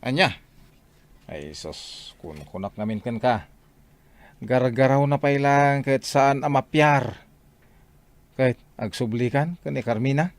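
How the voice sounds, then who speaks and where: A man's voice: mid-range voice, expressive, measured pace, long pauses, no echo. A man playing a blunt, scolding friend in a radio drama, questioning and exasperated, with a Filipino accent.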